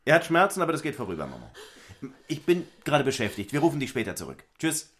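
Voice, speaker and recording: An actor playing a coarse, cynical middle-aged husband in an audio drama, blunt and sardonic. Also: male voice, mid-range voice, wildly swooping pitch, fast talker, a bit bright. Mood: placating. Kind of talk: theatrical audio play acting.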